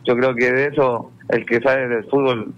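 Young male Chilean footballer, halting answers, lo-fi radio audio. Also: medium pitch, little pitch movement. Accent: Chilean Spanish. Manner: halting, repeats words and says "claro" often. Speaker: football player guest.

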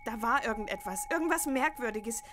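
A high-pitched teenage girl played by a woman in a horror radio play, anxious yet defiant. Her voice is bright and tense, halting as she pleads. There is faint noise behind. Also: lively intonation. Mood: fearful, pleading. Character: frightened, defiant sixteen-year-old daughter. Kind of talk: German horror audio drama acting.

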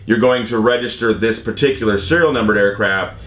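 A man's voice: on the low side, brisk, fairly bright tone, slight background noise. American man explaining in an echoey room. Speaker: vlog host, commercial drone pilot. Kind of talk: conversational explainer. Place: indoor workshop or studio room. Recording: roomy, echoing indoor sound.

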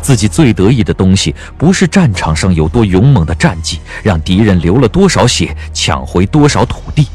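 A man narrates a scripted audio drama in a very deep voice. His delivery is measured and dramatic, with widely moving intonation over faint background music. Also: sing-song, a little mellow, husky.

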